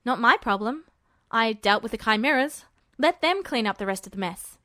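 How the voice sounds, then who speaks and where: A woman's voice: fairly high pitch, expressive, moderate pace, pushed and bright, dry recording, studio quality. A woman reading the part of a brash, guarded teenage boy in a story, curt and challenging. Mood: curt, smug.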